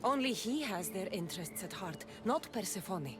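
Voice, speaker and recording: A woman's voice: medium pitch, lively intonation, moderate pace, music in the background, close to an everyday voice. A woman playing a confident, blunt warrior heroine in a video game, direct and matter-of-fact. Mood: assured, helpful. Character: confident, blunt female warrior hero, questioning and helpful. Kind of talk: video game cutscene acting.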